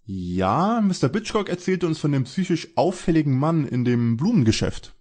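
Guy doing a cocky teen sleuth in a parody audio drama, raspy and bouncy. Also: a little high, big pitch swings, moderate pace, a little mellow. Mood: eager. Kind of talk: German detective radio play parody.

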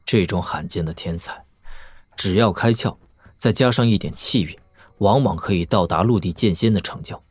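A man playing an assured young lord and master swordsman in a Chinese wuxia audio drama, measured, analytical and dryly witty. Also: sits low, no room sound. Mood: calm, analytical.

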